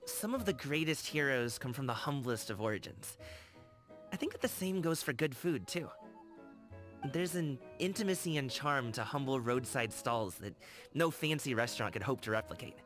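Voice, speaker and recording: A man's voice: medium pitch, lively intonation, normal speed, fairly bright tone, lightly acted. A man playing a friendly, earnest young delivery guard in a video game. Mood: warm, eager. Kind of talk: game character voice line. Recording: background music behind the voice. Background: music.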